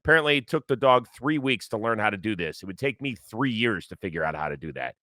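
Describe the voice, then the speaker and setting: Lively, slightly hoarse male sports host. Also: on the low side, fast, dry recording, studio quality. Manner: lively, animated, reads ad copy with energy. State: a little hoarse from lack of sleep. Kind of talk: casual sports talk show.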